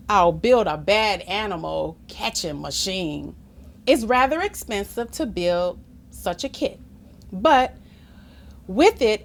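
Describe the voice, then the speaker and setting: A woman reading a children's picture book aloud expressively, engaging listeners with questions and asides in an animated manner. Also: on the high side. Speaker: storytime reader.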